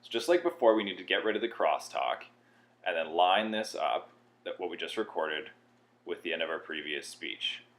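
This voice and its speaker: Low-voiced man giving a tutorial. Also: lively intonation, normal speed, a bit bright. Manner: informal, explanatory. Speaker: tutorial presenter. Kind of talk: step-by-step software tutorial narration.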